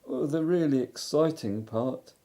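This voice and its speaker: A man's voice: medium pitch, dark tone, voice pushed up, natural voice. A man voicing a gloomy, mournful old donkey. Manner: slow, mournful, self-pitying. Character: gloomy old male donkey, melancholy and grumbling.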